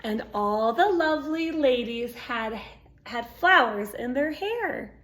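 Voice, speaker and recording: A woman's voice: a little high, lively intonation, slow, a bit bright, slight background noise. A woman reading a picture book aloud to young children, expressively, with exclamations, asides and questions to her listeners. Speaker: storytime reader.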